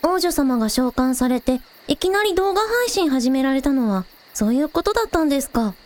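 A woman acts out a young village idol in a radio drama with a high, expressive voice. She is friendly and eager, polite one moment and casually teasing the next. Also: brisk. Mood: curious, teasing. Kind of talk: Japanese audio drama dialogue.

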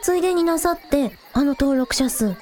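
A woman playing a friendly, excitable young village idol in an audio drama, expressive and shifting between politeness and casual teasing. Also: sits high, normal speed, faint music behind, natural voice. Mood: curious, teasing. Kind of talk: Japanese audio drama dialogue.

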